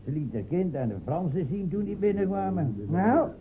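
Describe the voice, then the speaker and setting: An actor playing a timid, muddled village drinker in a radio drama, hesitant and stammering. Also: male voice, high voice, lively intonation, normal speed, slight background noise. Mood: protesting. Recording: tinny band-limited radio recording, crowd chatter.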